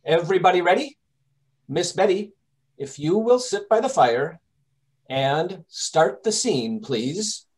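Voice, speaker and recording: A man playing a brisk, impatient gentleman detective giving orders in old-time radio drama style. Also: high voice, lively intonation. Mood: brisk, commanding. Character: brisk, commanding, impatient English gentleman detective directing rehearsal. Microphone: video call.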